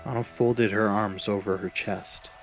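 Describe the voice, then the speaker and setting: A man reads a scripted fantasy tale as its narrator. His voice is on the low side, with dramatic storytelling delivery over a musical backdrop. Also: steady tone, unhurried, soft background music.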